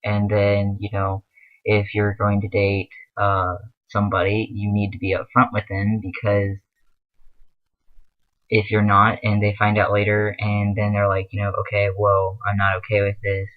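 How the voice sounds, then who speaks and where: A man's voice: on the low side, completely flat delivery, unhurried, narrow, tinny audio. A young man giving earnest, rambling advice in a casual vlog, with many fillers. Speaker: vlogger.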